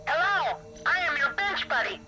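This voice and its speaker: High-pitched electronic little robot. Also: male voice, big pitch swings, normal speed, over loud music. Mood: polite, chirpy. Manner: stilted, formal machine phrasing. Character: small cute toy robot, polite, coldly menacing helper.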